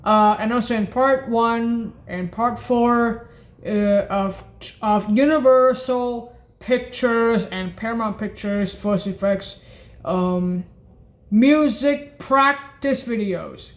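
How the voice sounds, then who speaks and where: A woman presents a sound demo in a fairly high-pitched voice at a very slow pace. She thinks aloud, halting often, repeating phrases and questioning herself as she goes. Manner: halting, repetitive, questioning, often muttering to self. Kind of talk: rambling demonstration commentary, thinking aloud.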